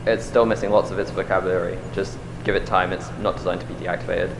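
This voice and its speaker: A man playing a pragmatic, slightly harried AI scientist in an audio drama, brisk and matter-of-fact in a natural style. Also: deep voice, little pitch movement, background noise. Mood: explanatory.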